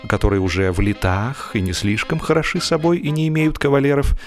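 A man narrating comic literature as an audiobook performer, in an expressive, mock-serious way, drawing out the ends of his phrases. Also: medium pitch, faint music behind. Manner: expressive, wry mock-serious delivery with drawn-out phrase endings.

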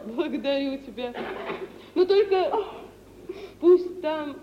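An actress playing an unhappy, dreamy young bride in a theatrical, yearning, drawn-out manner of old Russian radio drama. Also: female voice, high voice, animated. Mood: weary longing. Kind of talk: mid-century Russian stage drama acting for radio. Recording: dull 1950s radio recording, faint music.

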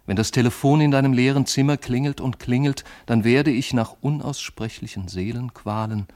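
An actor playing a lovesick, brooding man of letters in a literary radio monologue, speaking lyrically and intimately in measured phrases. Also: medium pitch, a little mellow, close to an everyday voice. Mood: anguished, fervent.

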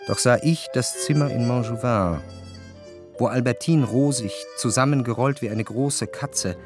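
A male actor as the reflective first-person narrator of a literary radio drama, speaking in a measured way. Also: mid-range voice, lightly acted. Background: faint music.

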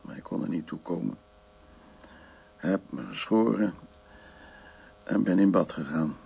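Radio drama role: troubled man telling his bitter past, mellow but husky, halting storyteller delivery. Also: low-pitched, animated, heavily acted. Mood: brooding, anguished. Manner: halting, storytelling, acting out quoted remarks. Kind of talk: Dutch radio play, intimate dramatic monologue and dialogue.

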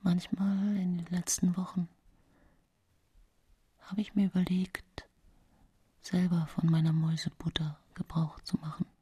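An accused woman poisoner in a radio play, widowed and haunted. She speaks in a deliberate, confiding way that can sink into a whisper. She sounds calculating, forever justifying herself. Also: lowish voice, level tone, warm tone, breathy edge. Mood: bitter, brooding. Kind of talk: radio drama monologue.

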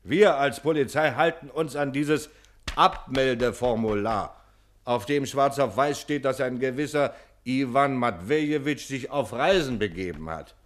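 An actor playing a pedantic, condescending male police commissioner in a radio play, officious and deliberate. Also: mid-range voice, lightly acted. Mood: weary, final.

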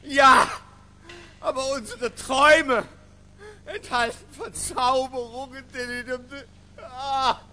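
An actor in a radio play playing an obsessive, pedantic middle-aged academic giving a halting, fussy, emphatic lecture. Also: male voice, extremely high pitch, fairly even intonation, bright, forceful tone, full character voice. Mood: anguished, overwrought. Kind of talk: theatrical radio play monologue. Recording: reverberant lecture hall, faint noise.

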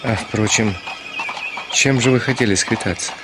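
An actor playing a weary, irritable gentleman in a Russian radio drama, impatient, emphatic and theatrical in manner. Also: medium pitch, normal speed, background noise, natural voice. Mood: firm, challenging. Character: weary, irritable gentleman, curt with an unwelcome rival.

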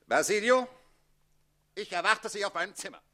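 An actor playing an imperious count, the commanding master of the house in a radio comedy. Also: male voice, sits high, normal speed, bright, forceful tone. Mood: curt, commanding. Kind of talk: German radio play of a classic stage comedy.

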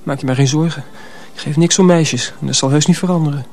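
An actor playing a naive, earnest teenage apprentice in a Dutch radio drama, with an eager, youthful manner. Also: male voice, a little high, fast, darkish tone, natural voice. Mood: boyish, confident.

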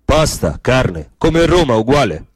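A Romanian immigrant boxer in a stage drama. His pitch is middling and the sound is dry. He answers tersely, in clipped, laconic phrases. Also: sing-song, unhurried, breathy edge, dry recording. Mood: terse, matter-of-fact. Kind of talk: stage dialogue.

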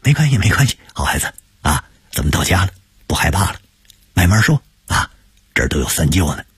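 A man voicing a kindly male shop owner who coaxes a frightened boy in a hushed, husky tone. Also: rumbling low, animated, normal speed, no room sound, clean studio sound, voice pushed down, full character voice. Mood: soothing.

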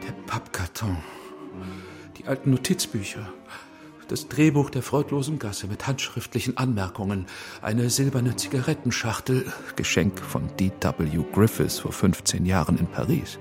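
A man's voice: on the low side, animated, moderate pace, music in the background. An actor playing an anxious, cultured film director in a radio play, a man who talks things over to himself. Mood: muttering, self-assured. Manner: muttering inventories to himself, crying out when frightened. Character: anxious film director, cultured, self-persuading husband and son. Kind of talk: German radio play acting.

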